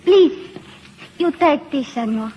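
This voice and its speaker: A woman's voice: high voice, expressive, slow pace, muffled recording, a little hiss or hum. A woman playing an earnest, timid young Mexican village girl with accented, hesitant broken English in a 1940s radio drama. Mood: earnest, shy.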